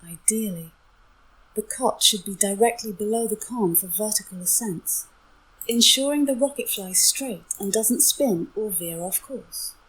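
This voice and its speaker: A synthetic woman's voice as an AI companion, giving formal, measured explanations with a slightly breathy tone. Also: medium pitch, a bit bright, rough recording. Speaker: AI voice assistant companion. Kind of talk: clear explanatory briefing, scripted-sounding.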